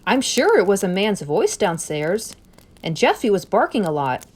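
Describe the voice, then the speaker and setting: A woman's voice: mid-range voice, big pitch swings, normal speed, a little mellow, breathy edge. A woman voicing a frightened, sick young woman with an anxious, pleading, faltering delivery in a story reading. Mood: confused, uneasy.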